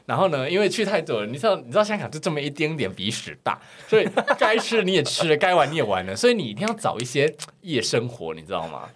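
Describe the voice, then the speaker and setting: A man's voice: a little high, big pitch swings, fast talker, hardly any pauses, husky. A man in his thirties with a Taiwanese Mandarin accent, chatting candidly and crudely as a podcast guest telling personal stories. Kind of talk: casual chat, personal storytelling.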